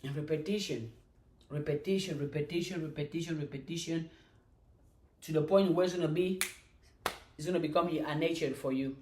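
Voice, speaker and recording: A woman hosting a motivational live stream, chanting affirmations and answering questions in a slow, repetitive way. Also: deep voice, a little mellow, slight background noise. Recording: echoey room.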